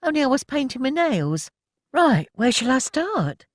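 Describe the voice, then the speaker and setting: Give me a brash, theatrical young woman who blusters loudly, as a woman reader puts her on, high and lively. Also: unhurried, no room sound, clean studio sound, exaggerated voice. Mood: flustered. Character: brash glamorous young woman war worker.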